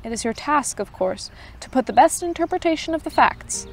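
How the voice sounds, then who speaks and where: A woman's voice: high-pitched, animated, a little fast, voice pushed up. A woman voicing a severe, stern unseen official who judges a case with cold, critical remarks.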